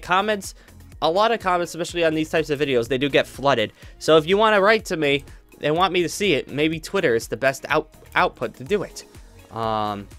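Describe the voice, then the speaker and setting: A man's voice: fairly high pitch, fairly bright tone. A young man chatting casually to his viewers, rambling with many fillers and restarts. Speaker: YouTube roleplay creator.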